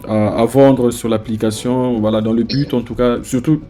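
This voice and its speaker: A man, a software engineer, answering interview questions with calm, measured explanations. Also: medium pitch. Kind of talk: casual interview chat.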